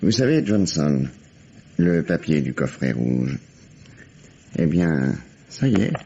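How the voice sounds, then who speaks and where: An actor playing a weary, dogged police detective in a vintage French radio drama. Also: male voice, a bass voice, very animated, slow, vocal fry, warm tone, highs cut off, a little hiss or hum, heavily acted. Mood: wry, determined.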